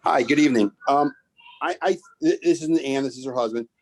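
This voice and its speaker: A man from Maine speaking up at a town meeting, earnest and a little halting, and he quickens when he presses a point. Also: mid-range voice. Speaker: resident making public comment. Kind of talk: public comment at a town meeting.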